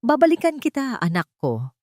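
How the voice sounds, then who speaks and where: A woman's voice: high voice, wildly swooping pitch, moderate pace, darkish tone, no echo, studio quality, pitched above the performer's own voice. Woman voicing a resolute, wounded young Filipina mother. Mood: tearful vow.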